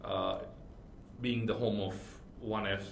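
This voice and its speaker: Male podcast host, gravelly voice, halting speech, echoey room. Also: wildly swooping pitch. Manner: halting, repeats words, laughs loudly. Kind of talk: casual interview. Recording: roomy with faint rumble.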